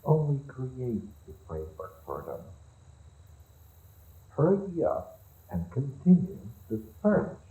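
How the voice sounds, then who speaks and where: A man's voice: medium pitch, wildly swooping pitch, slow, long pauses, mellow, slightly rough, heavily acted, low-quality recording. A man playing a haughty evil sorcerer in a fantasy roleplay audio drama, theatrical, condescending and musing. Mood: commanding, impatient. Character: haughty evil male sorcerer leading a raid.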